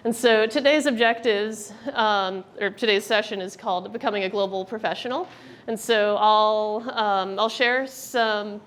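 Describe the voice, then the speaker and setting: A woman's voice: mid-range voice, fairly bright tone. A young American woman informally introducing a workshop to a live group, chatty, friendly and a little hesitant. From United States. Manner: hesitant, frequent ums and pauses, chatty and friendly. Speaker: workshop presenter, research director. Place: indoor workshop room with an audience. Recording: live room with clear background noise.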